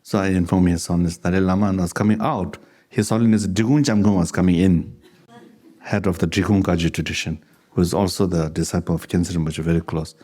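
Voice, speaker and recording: Low, mellow-voiced man teaching with an accent. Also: steady tone. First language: Tibetan. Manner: unhurried, conversational, chuckles while telling anecdotes. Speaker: Buddhist teacher. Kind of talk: dharma talk with question and answer.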